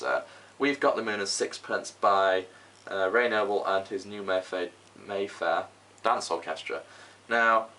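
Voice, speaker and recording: Low-voiced young man explaining. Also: sharp tone. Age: young adult. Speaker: host, hobbyist record restorer. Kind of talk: informal tutorial vlog. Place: small room at home, indoors. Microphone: camera microphone. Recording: faint background noise, home recording.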